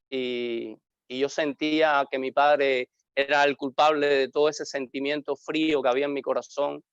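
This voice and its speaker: Cuban male pastor, clear and smooth voice, earnest reflective testimony, dull dry audio. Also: medium pitch, no echo. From Cuba. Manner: earnest, reflective storytelling with pauses. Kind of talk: interview, personal testimony.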